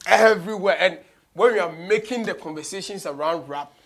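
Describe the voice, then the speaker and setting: Male Ghanaian interviewer, thirties, slightly high pitch, animated delivery, code-switching conversational style. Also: a little fast. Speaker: show host. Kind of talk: conversational radio interview, mixing English and Twi. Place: small office studio, indoors.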